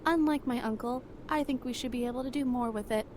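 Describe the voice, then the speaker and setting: A woman playing a haughty, sharp-tongued young 1930s heiress, formal and dismissive, in a noir audio drama. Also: on the high side, fast, background noise, close to an everyday voice. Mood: cool, teasing.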